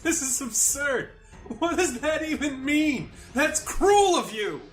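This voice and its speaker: Man acting a high, strained, desperate young hero. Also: lively intonation, takes it slowly, music in the background, exaggerated voice. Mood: distraught, incredulous. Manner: strained, exclaiming, breaking into pained laughter. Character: wounded, desperate young hero with time powers.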